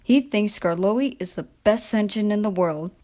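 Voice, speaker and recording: A woman narrating a children's story from a script in an expressive, deliberate storytelling manner. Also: medium pitch.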